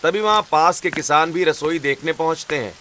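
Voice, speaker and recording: A man's voice: fairly high pitch, fairly quick, sharp tone, slight background noise. A man reading scripted narration for a cartoon story.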